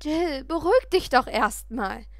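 A woman's voice: sits high, unhurried, dry recording, studio quality. A woman voicing an eager, fretful mother of a teenage girl in an audiobook. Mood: placating. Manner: excited, placating, then reproachful.

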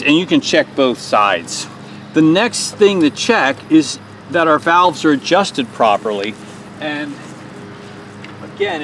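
A man's voice: mid-range voice, fairly bright tone, a little hiss or hum. A man in his forties hosting a hands-on small engine repair tutorial outdoors on a lawn, explaining each step in a plain, folksy way.

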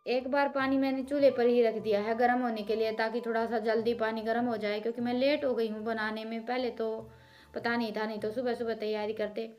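A woman's voice: a little high, level tone, fairly quick, a little mellow, soft background music. A woman in her thirties from Haryana, giving a homely, chatty voice-over as a village cooking vlogger, explaining each step to her viewers. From Haryana, India.

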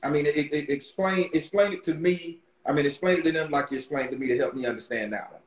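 A man's voice: fairly high pitch, quick pace. Guy in his 30s on a webcam in a roomy echoey space, rambles and restarts a lot. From Barbados. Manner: rambling, repeats words, excited restarts. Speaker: podcast host, tarot reader. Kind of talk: casual video-call interview. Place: room with brick wall backdrop. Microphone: webcam on video call.

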